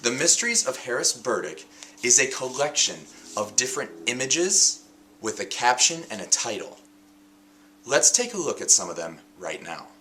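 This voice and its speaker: A man reading a picture book aloud as a host, in an expressive storybook style with dramatic, deliberate phrasing and emphatic pauses. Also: mid-range voice, rough recording.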